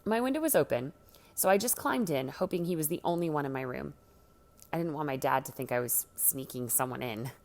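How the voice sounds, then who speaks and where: Low-voiced woman reading a story expressively. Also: a little fast, gravelly. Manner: dramatic, acts out the dialogue. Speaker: story reader. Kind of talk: expressive scripted reading of a first-person teen story.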